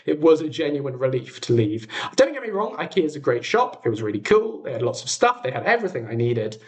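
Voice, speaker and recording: A man's voice: fairly high pitch, very animated, fast. A young British man hosting a livestream, chatting casually to viewers in an enthusiastic, whimsical way. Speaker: livestream host.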